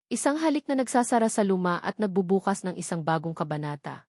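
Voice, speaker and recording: A woman's voice: medium pitch, sing-song, fast talker, a little mellow, no room sound, studio quality. A woman with a Filipino accent reading scripted dramatic narration as an audiobook storyteller.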